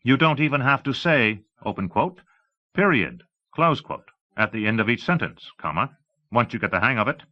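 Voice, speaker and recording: A man's voice: mid-range voice, sing-song, normal speed, pitched above the performer's own voice, lightly acted. A man narrating an audiobook, voicing an eager, showy salesman with emphatic, carefully enunciated speech in slightly muffled audio. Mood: enthusiastic explaining. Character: eager, showy salesman demonstrating voice software to an audience. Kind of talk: audiobook character dialogue.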